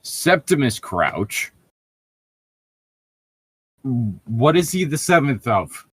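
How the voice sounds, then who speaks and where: A man's voice: medium pitch, sing-song, drawn-out words, fairly bright tone, husky, no room sound. A man reading fan fiction aloud as a podcast host and riffing on it casually, in an exasperated, sarcastic and often profane manner. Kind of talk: casual riffing between lines read aloud.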